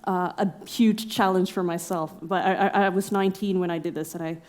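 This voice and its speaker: A young woman from Norway talks through her story in a relaxed podcast conversation. Her voice sits slightly low, and she pauses with frequent fillers and laughs along the way. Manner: reflective, many fillers, laughs often. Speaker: exhibiting artist guest.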